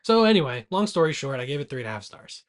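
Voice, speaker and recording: A man's voice: a little high, big pitch swings, fast. A man co-hosting a movie podcast, chatting casually in a loose, rowdy, irreverent way, a little tipsy from beer. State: tipsy after a couple of beers. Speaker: podcast co-host.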